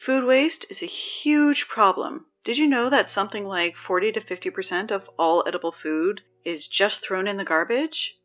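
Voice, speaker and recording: A woman's voice: medium pitch, normal speed, hardly any pauses, dull audio, close to an everyday voice. A woman playing a dry, sarcastic teenage girl who reads the school announcements in an audio drama. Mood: chatty.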